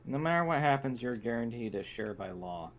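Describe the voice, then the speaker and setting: A man's voice: medium pitch, lively intonation, a little fast. A man chatting casually as a player in an online tabletop roleplaying game. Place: online voice call. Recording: noisy call with keyboard typing.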